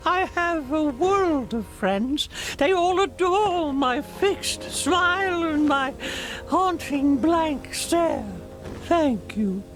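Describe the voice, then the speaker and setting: A lonely, eerie living glove mascot for a cartoon game. The voice is very high and slow, plaintive and needy, with music behind. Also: expressive, a bit bright, exaggerated voice. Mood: lonely, tender. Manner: drawn-out, plaintive. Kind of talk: animated video game acting.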